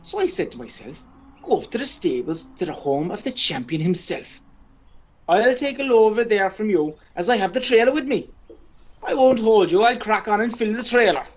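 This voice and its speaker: A woman's voice: mid-range voice, expressive, moderate pace, darkish tone, a little hiss or hum, close to an everyday voice, low-quality recording. A woman acting an ageing, boastful Irish male sporting champion in a radio play, chatty, pompous and persistent. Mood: wheedling, chatty. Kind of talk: radio play monologue and dialogue.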